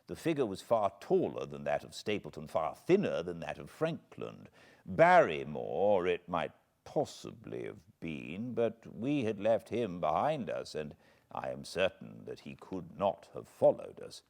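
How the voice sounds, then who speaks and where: Deep-voiced British man reading a classic novel. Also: creaky. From Britain. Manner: measured, expressive storytelling. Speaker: audiobook narrator. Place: cozy spot by a fireplace at home.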